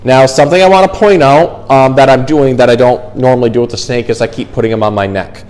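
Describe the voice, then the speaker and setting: Zookeeper guy chatting informally, explaining animal stuff, medium pitched voice. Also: fast, a little mellow. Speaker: zookeeper presenter. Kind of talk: casual educational animal talk and storytime.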